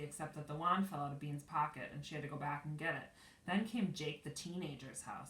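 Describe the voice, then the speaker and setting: A woman teacher reading a children's storybook aloud expressively, pausing to explain the pictures. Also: sits low, a bit bright. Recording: echoey room, distant from mic.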